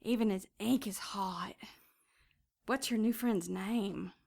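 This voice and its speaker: Cheeky flirt with creaky voice. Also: female voice, mid-range voice, takes it slowly, a little mellow. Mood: flirty, swooning. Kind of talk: audio drama acting.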